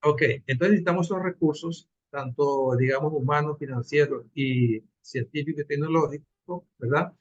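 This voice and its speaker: A man from Venezuela presenting a project in an online class, earnest and halting, with fillers. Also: on the high side, no room sound. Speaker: course participant presenting a project. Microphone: video call. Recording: dull dry video call audio.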